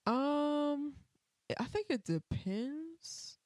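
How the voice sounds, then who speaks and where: A woman singer guest with a deep alto voice, talking in a laid-back, easygoing way in a casual interview. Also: expressive, extremely slow, a little mellow, no room sound, clean studio sound.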